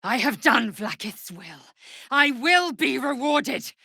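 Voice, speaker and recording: A woman's voice: high-pitched, animated, takes it slowly, gravelly, sharp tone, slightly rough, no echo, clean studio sound, heavily acted. A woman playing a fierce, imperious young Githyanki alien warrior in a video game, with a clipped, haughty, commanding way of speaking and formal phrasing. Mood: fierce, intense. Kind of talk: video game character voice lines.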